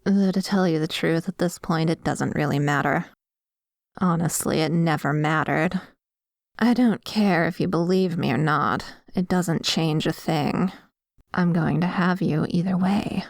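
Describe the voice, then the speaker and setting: A woman playing a dominant, possessive female vampire in an ASMR roleplay, talking in a coy, deliberate and quietly menacing way. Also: sits low, vocal fry, no room sound, studio quality. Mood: smug, teasing.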